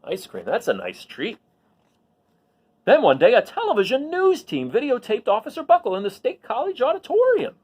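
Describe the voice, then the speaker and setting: Male storyteller, picture-book read-aloud. Very animated, wide-swinging intonation, emphatic words, conversational asides. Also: very high-pitched, moderate pace, breathy edge. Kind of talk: expressive read-aloud with chatty asides to listeners.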